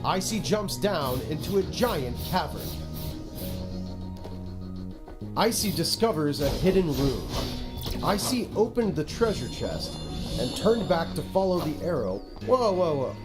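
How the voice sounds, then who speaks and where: This is a haughty, self-important narrator in a video game, petulant and easily exasperated with the player. The delivery is dramatic and slow, with pitch that leaps around expressively. Music plays behind the voice. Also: sing-song. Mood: solemn narration. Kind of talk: theatrical scripted game narration.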